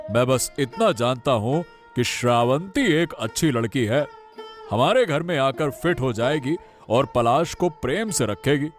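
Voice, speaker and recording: Unhurried, resolute village father played by a man. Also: medium pitch, close to an everyday voice. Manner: firm, reasoning, emphatic. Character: resolute middle-aged village father and household head. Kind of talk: Hindi cartoon moral story dialogue.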